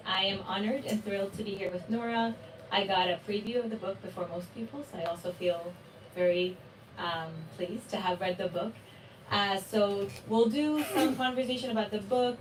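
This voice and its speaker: A woman in her twenties from Palestine moderates an author talk with enthusiasm, sharing personal experiences. Her voice sits at a middle pitch and sounds roomy and distant from the mic, with faint background noise. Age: 20s. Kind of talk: conversational interview. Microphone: video call.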